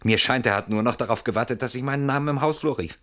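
A man's voice: medium pitch, fast talker, a bit bright, lightly acted. An actor playing an anxious, brooding man in a German radio play, defensive and articulate, turning things over with theatrical irony. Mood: brooding, analytical.

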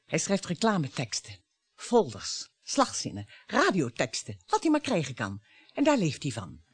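A woman's voice: sits low, huge pitch swings, moderate pace, husky, no echo, exaggerated voice. A woman acting a long-suffering but defiant middle-aged wife and mother in a Dutch radio play, pointed and challenging. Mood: firm, reproachful.

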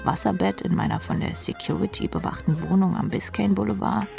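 A woman's voice: mid-range voice, brisk, vocal fry, dark tone, soft background music. A woman playing a jaded, sardonic rock drummer who narrates her own life drily in a radio play. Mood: wry. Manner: dry, sardonic. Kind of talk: scripted first-person radio drama narration.

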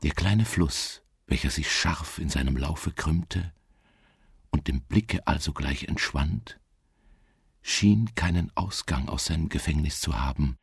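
Give the part to a lonely, dreaming wanderer in a gothic radio play. His voice is extremely low and gravelly, with a husky, hushed grain. He narrates slowly and deliberately, brooding over each phrase in a close, dry recording. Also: no echo, full character voice. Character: solitary dreamy male wanderer recounting a melancholy gothic tale. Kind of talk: slow literary radio-drama narration.

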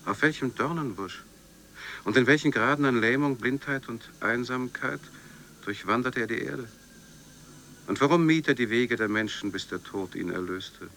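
An actor plays a solemn man posing rhetorical questions of ancient myth. The delivery is grave and measured, with a creaky, gravelly edge. There is faint noise behind this radio-drama voice. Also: mid-range voice, fairly bright tone, close to an everyday voice. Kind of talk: literary radio drama declamation.

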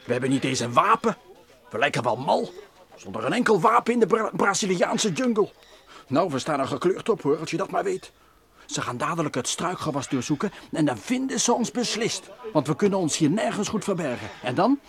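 A man's voice: a little high, big pitch swings, a little fast, a bit bright. A man playing a cautious, protective, grumbling expedition companion in an old radio play, fretful and scolding. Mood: worried, sceptical.

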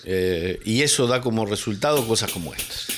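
A man's voice: fairly low pitch, animated, normal speed, background noise. A man co-hosting a radio music show, chatting casually and thinking aloud with many fillers. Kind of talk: casual on-air chat about music.

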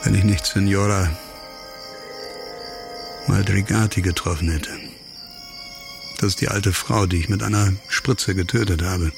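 Gravelly, bitter disgraced doctor. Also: male voice, low-pitched, steady tone, measured pace, pauses often, over music. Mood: somber, reflective. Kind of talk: radio crime drama acting.

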